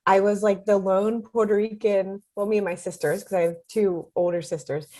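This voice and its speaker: A woman's voice: medium pitch, steady tone, dark tone. An American woman in her thirties or forties, chatting informally as an interview guest on a video call, telling personal stories. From Pittsburgh, United States. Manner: chatty, informal, quick to joke. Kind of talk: casual personal storytelling.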